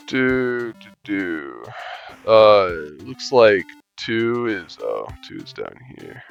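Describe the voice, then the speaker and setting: A man reading a visual novel aloud as a gamer, voicing narration and the player in a relaxed, wry way and muttering while he plays. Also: on the low side, very slow, gravelly. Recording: lo-fi mic with faint music.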